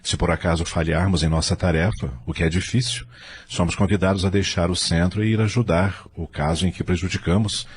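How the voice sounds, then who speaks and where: A man playing an earnest, patient spirit records clerk in an audio novel, explaining in a measured, didactic way. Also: low-pitched, steady tone, slight background noise, lightly acted. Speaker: guide at a spirit-world records centre.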